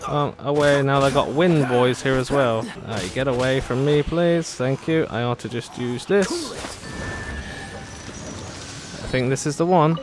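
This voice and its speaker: Female gaming host, low voice, casual and sarcastic, jokey asides, light game music underneath. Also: measured pace. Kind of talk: casual gameplay commentary.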